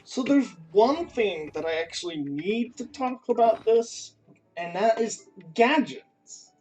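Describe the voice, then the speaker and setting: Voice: mid-range voice, expressive, slow, darkish tone, muffled recording, faint music behind. Komentator gim santai dengan tempo agak lambat. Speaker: gaming streamer. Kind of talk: casual game commentary.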